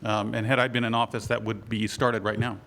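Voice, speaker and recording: A man running for office, speaking brisk and assertive at a forum, his voice a bit scratchy from a cold. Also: on the low side, flat delivery, a bit bright. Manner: brisk, assertive, rushes then slows down. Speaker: political candidate. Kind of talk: candidate forum statement.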